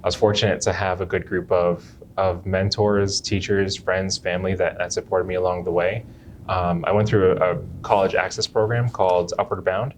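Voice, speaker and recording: A young man from Jamaica who works as a chiropractor, answering interview questions in an unhurried way and often restarting his phrases. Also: low-pitched, slight background noise.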